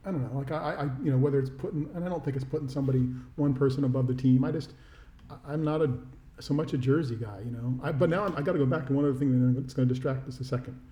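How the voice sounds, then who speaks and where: Mellow middle-aged American man, echoey room. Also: mid-range voice, very fast, dull audio. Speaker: podcast co-host. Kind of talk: casual sports chat. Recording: roomy, echoey sound.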